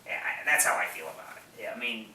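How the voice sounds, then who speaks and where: A man's voice: lowish voice, expressive, quick pace, pushed and bright. Roomy, distant man chatting about film. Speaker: podcast co-host. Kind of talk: casual film discussion. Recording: roomy, distant mic, faint noise.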